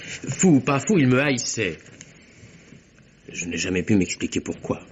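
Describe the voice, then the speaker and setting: An actor playing an earnest secretary in a vintage French radio mystery, speaking in a measured, formal and precise way. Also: male voice, medium pitch, big pitch swings. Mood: insistent, grave. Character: earnest male secretary recounting a deadly affair. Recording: dull vintage radio recording, faint hiss.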